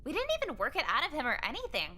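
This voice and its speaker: An actress playing a sarcastic, indignant high school girl in a visual novel game, with some noise behind her. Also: wildly swooping pitch, very fast, bright, forceful tone, exaggerated voice.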